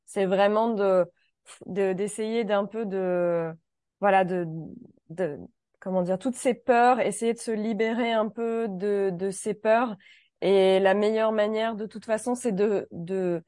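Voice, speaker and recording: A woman's voice: mid-range voice, fairly even intonation, takes it slowly, mellow, no echo, clean studio sound. A woman hosting a casual conversation about learning French, thoughtful and searching for her words with light laughs.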